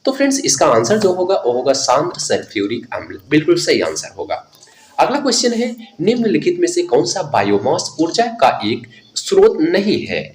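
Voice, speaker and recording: A man's voice: on the high side, normal speed. A man teaching an exam quiz lesson, reading out questions and explaining answers in a sing-song way. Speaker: science teacher for exam preparation. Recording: echoey room, steady chirping insect-like noise.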